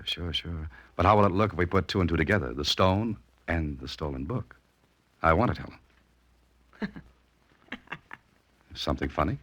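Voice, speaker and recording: Male sleuth, 1940s radio mystery. Low register, expressive swings, wry understatement and cool nerve. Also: normal speed, long pauses, no echo. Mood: wry pressing. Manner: dry, understated, teasing. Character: cool, wry novelist turned amateur sleuth, a man. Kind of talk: 1940s radio drama acting and first-person narration. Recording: vintage radio recording with music.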